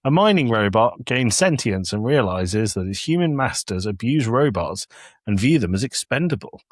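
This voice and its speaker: A man's voice: fairly low pitch, big pitch swings, normal speed, dry recording. Guy on a podcast, jokey and casual, voice moves around a lot, really dry sound. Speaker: podcast co-host. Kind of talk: casual chat and reading episode summaries aloud.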